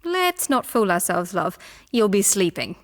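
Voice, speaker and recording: A woman's voice: mid-range voice, very animated, a little slow. An actress playing a shrewd, teasing female antiquities trader in a video game, speaking with wry self-assurance. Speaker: game character. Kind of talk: theatrical video game voice acting.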